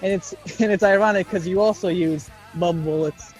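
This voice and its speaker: A man's voice: high-pitched, normal speed, soft background music, low-quality recording. A young man co-hosting a podcast in casual, improvised banter, joking and swearing and acting out bits of dialogue. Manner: jokey, profane, acts out bits of dialogue. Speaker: podcast co-host.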